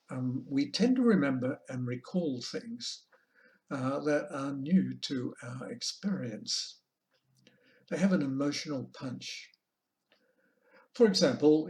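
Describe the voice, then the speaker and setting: A man with an Australian accent presenting an informal online lecture as a language teacher, in a measured, deliberate, halting manner. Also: medium pitch, a little mellow. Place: online video call presentation.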